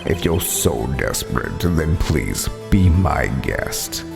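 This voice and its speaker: A menacing, disdainful prosecutor from a courtroom game, played by a man. The voice is deep and gravelly, every phrase stretched with haughty slowness over game music. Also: animated, exaggerated voice. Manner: slow, haughty, drawn-out delivery. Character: cold, arrogant male prosecutor, menacing and disdainful.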